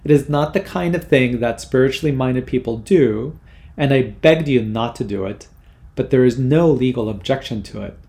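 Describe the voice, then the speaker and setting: Male reader doing a haughty, fussy mother, indignant and superior, gravelly. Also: mid-range voice, moderate pace, darkish tone, close to an everyday voice. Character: prim haughty middle-aged mother, machine-devoted.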